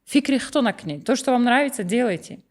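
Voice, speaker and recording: A woman's voice: on the high side, animated, fast talker. Outspoken young woman chatting in an interview. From Tajikistan. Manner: switches between languages mid-sentence, outspoken. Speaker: guest. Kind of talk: casual interview chat.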